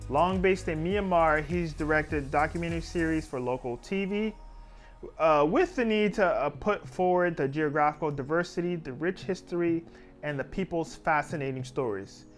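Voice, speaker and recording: A man hosting a festival rundown, reading each film's blurb aloud and stumbling now and then on filler words. His voice sits a little high for a man, and soft music plays quietly underneath. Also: moderate pace. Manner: reads blurbs with frequent "uh" fillers, apologizes for pronouncing names. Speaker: festival host presenting film submissions. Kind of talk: reading film synopses aloud, thanking filmmakers.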